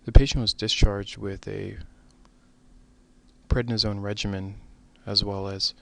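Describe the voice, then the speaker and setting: A man with a lowish, creaky voice narrates a radiology case. His delivery stays even in tone, with measured, formal phrasing suited to a medical lecture.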